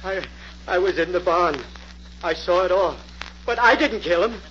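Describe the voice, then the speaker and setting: A man's voice: sits high, moderate pace, a bit bright. An actor playing a frightened, simple-minded man who pleads in a panicky, repetitive way, in old radio drama style. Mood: shaken, agitated. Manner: panicky, pleading, repeats himself. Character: frightened, simple-minded farm witness pleading his innocence. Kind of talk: 1940s radio acting. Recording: muffled, noisy old-time radio recording.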